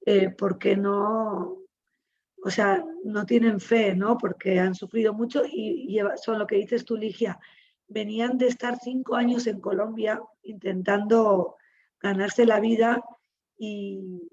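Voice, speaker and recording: Española moderadora, audio apagado. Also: female voice, mid-range voice, steady tone, slow pace, darkish tone, highs cut off. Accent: Spanish from Spain. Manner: reflective, searching for words. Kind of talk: webinar panel talk.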